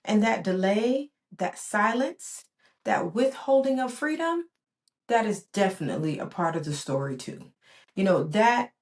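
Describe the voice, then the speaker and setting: A woman's voice: mid-range voice, a little mellow. A woman in her forties, born in Barbados and raised in the US, hosting a reflective solo podcast in an earnest, rhythmic way with repeated emphatic phrases. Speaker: podcast host.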